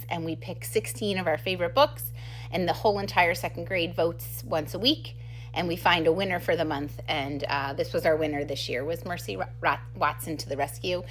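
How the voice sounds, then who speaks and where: Casual woman teacher, slightly low voice, noisy recording. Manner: enthusiastic, giggly. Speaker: second grade teacher presenting book recommendations. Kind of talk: casual book talk to camera.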